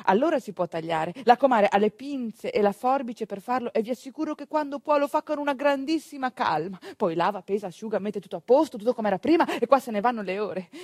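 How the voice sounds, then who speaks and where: A fairly high-pitched woman performs a storytelling monologue. She speaks to her audience in an emphatic, conversational way, pausing and repeating for effect. The sound is very dry, with almost no room echo. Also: a little mellow. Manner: emphatic, conversational, with deliberate pauses and repetitions. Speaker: stage actress and monologist.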